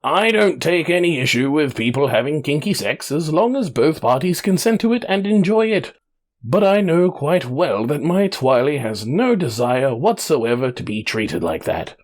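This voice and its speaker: Dramatic letter reading: earnest, proud, lovestruck male fiancé, acted by a man, emphatic and very animated in pitch. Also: moderate pace. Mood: firm, solemn.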